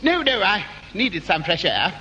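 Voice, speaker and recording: An actor playing a fussy, prim, over-polite and easily flustered English gentleman in a 1960s radio comedy. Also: high-pitched, very animated, a little slow, lo-fi sound, heavily acted. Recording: hissy, echoey 1960s radio recording.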